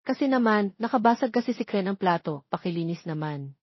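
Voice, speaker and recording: A woman's voice: mid-range voice, lively intonation, fairly quick, no room sound, highs cut off, lightly acted. A woman voicing a stern, authoritative wealthy man in a narrated love story. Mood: insistent. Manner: authoritative, curt. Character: stern, curt billionaire man feigning blindness.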